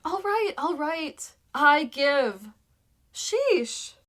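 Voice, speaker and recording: Woman playing a high-voiced, snide dragon girl. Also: lively intonation, extremely slow, fairly bright tone, exaggerated voice. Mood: exasperated surrender. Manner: snide, impatient, scoffing. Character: sarcastic, irritable young female dragon warrior. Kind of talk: fan fiction audio drama acting.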